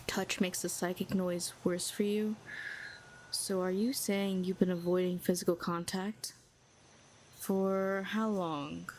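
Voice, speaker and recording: A woman's voice: lowish voice, darkish tone, a little hiss or hum. A woman playing a cold sardonic villain telepath. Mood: hushed coaxing. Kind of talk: audio roleplay acting.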